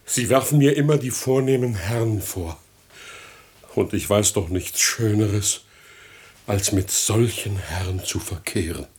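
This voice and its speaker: A man's voice: on the low side, a little slow, a little mellow, lightly acted. An actor playing a pompous, foolish, blustering rich father in a 1960s German radio comedy. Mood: wistful vanity.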